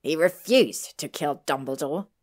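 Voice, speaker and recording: Woman acting protective teenage boy wizard, low pitch, firm, blunt, stern, storybook character. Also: animated, normal speed, full character voice. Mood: snappish.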